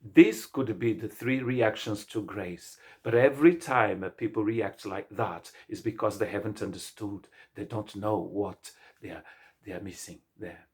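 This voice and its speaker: A middle-aged man from Brazil with a Brazilian Portuguese accent, a native Portuguese speaker, preaching a sermon as pastor in an earnest, halting way with many restarts. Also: on the low side, a little mellow, husky. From Brazil, state of Rio de Janeiro.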